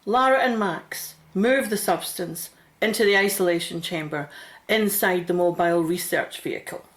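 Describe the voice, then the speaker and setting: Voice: medium pitch, normal speed, fairly bright tone. A narrator reading scripted scene-setting for a radio drama.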